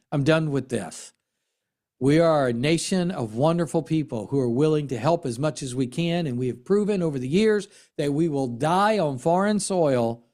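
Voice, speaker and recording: Animated American man with a higher-pitched voice. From United States. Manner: earnest, emphatic, with rhetorical repetition and dramatic pauses. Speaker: political commentary host and military veteran. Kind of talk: impassioned monologue commentary.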